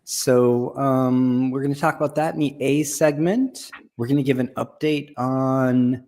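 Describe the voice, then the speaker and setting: A man hosting a live online show about comic conservation, talking in a relaxed, rambling, self-deprecating way. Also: medium pitch, measured pace. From Texas. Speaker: host. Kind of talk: casual live show chat.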